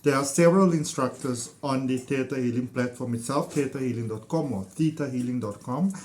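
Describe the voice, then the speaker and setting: A man's voice: mid-range voice, a little fast, a little mellow, a little hiss or hum. A man with a South African accent sharing his healing-coaching experience in a casual, enthusiastic, meandering way, full of fillers. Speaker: ThetaHealing practitioner. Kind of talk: casual personal testimonial to camera.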